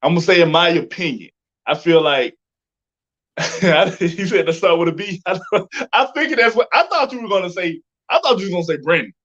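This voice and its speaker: A man hosting a casual interview, speaking in a halting, conversational way with backtracking. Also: sits high, animated, fairly bright tone. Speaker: interview host. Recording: dull, muffled lo-fi audio.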